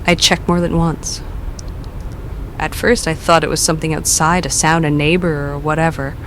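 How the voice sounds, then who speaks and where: Lady doing a nervous caller, halting, kinda low voice, bit of hiss. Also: little pitch movement, fairly bright tone. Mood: uneasy. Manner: hesitant, halting, self-deprecating. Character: nervous, self-doubting woman caller.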